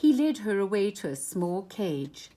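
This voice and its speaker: A woman reading a children's storybook aloud at bedtime in an expressive, animated way. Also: medium pitch, a little slow.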